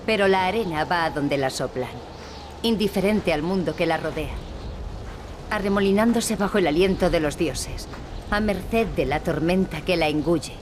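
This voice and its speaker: An actress voices a grave storyteller in a fantasy video game. She speaks low and measured, like someone reciting a fable, with clear background noise around her. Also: natural voice. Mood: solemn. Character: solemn storyteller narrating a desert fable. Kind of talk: solemn scripted narration.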